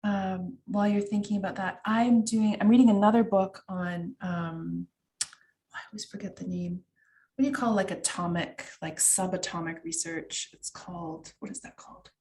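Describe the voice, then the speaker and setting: A woman's voice: medium pitch, steady tone, moderate pace, darkish tone. Canadian woman, middle-aged, workshop facilitator, chatty with fillers, video-call audio. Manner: chatty, many fillers and asides to the chat. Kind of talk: online workshop teaching.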